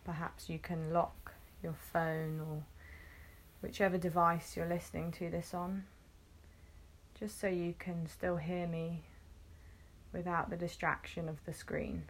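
A woman guiding a meditation, gently instructing in short phrases with long, unhurried pauses. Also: fairly low pitch, flat delivery. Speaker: meditation guide. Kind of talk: guided meditation, soothing instruction.

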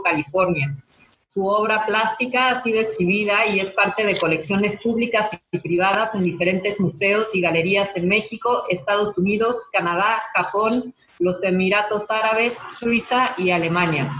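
A woman's voice: medium pitch, fairly bright tone, slight background noise. A woman hosting an online panel and introducing guests, heard through slightly dull call audio. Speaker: host, moderator.

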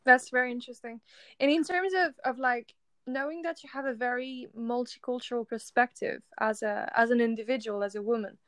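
Upbeat woman host, smooth bright voice. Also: a little high, dry recording, clean studio sound. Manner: enthusiastic, encouraging, halting questions. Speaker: podcast host. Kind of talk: interviewing.

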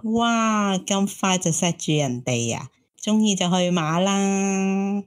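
A woman's voice: medium pitch, lively intonation, slow pace. An actress playing a hopeful, romantic single woman in her thirties in an audio drama, teasing and exclaiming. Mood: teasing, nosy. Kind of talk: Cantonese audio drama acting.

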